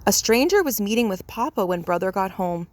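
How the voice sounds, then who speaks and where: A woman's voice: medium pitch, lively intonation, brisk. A woman reading a children's storybook aloud as narrator. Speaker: storybook reader.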